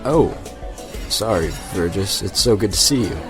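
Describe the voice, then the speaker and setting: A very low-voiced, cocky young rebel. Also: male voice, expressive, normal speed, soft background music. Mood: tipsy, friendly. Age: young adult. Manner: loose, irreverent talk. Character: cocky, rebellious general's son, nearly twenty. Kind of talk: audio drama acting.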